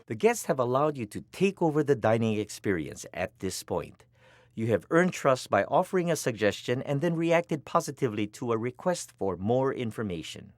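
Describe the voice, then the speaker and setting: Man narrating a training film with lively intonation. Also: mid-range voice, no echo, clean studio sound. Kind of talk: scripted instructional narration.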